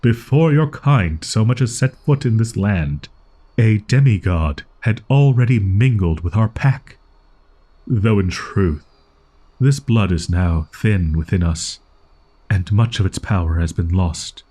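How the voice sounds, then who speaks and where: A man's voice: on the low side, lively intonation, measured pace, mellow, slightly rough. A man voicing a proud, easily flustered young wolf-boy in an audio roleplay, speaking in haughty, archaic, formal phrasing. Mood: proud, scornful.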